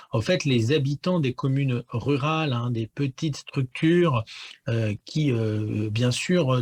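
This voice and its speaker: A man's voice: mid-range voice, darkish tone, slightly rough. A man giving analysis as a guest pollster in a radio interview, explaining things with frequent stammered repeats.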